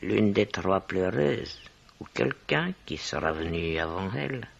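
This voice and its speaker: An actress playing a sly, nosy hotel woman turned amateur detective in a radio mystery, husky and gravelly, deliberate, insinuating and dryly detached. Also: very deep voice, animated, exaggerated voice. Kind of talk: French radio mystery drama acting. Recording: dull vintage-style radio recording.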